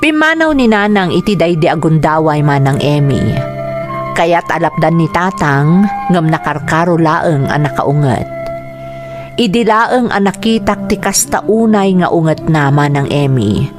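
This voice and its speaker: An actress with a Filipino accent playing a sad child who narrates family troubles in a radio drama. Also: female voice, low voice, animated, a little slow, music in the background. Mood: sorrowful.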